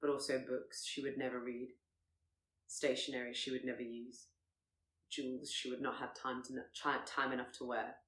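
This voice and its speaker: A woman voicing a proud, reserved and brooding Regency gentleman who speaks formally and gravely. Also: rumbling low, flat delivery, moderate pace, reverberant, pitched below the performer's own voice. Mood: pained, reflective.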